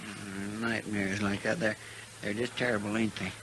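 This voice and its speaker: An actor playing a loyal, deferential frontier deputy in a radio western, with a rural Western American twang and a rambling, stammering way of talking. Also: male voice, on the low side, level tone, normal speed, a bit bright, lightly acted. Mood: concerned, chatty. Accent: American Western rural drawl. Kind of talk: 1950s radio western acting. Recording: noisy vintage 1950s radio broadcast.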